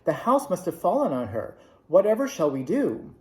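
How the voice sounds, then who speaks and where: A man voicing an innocent, gentle little girl, earnest and worried, in a storybook reading. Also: high-pitched, very animated, normal speed, pitched above the performer's own voice. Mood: dismayed. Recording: roomy echo.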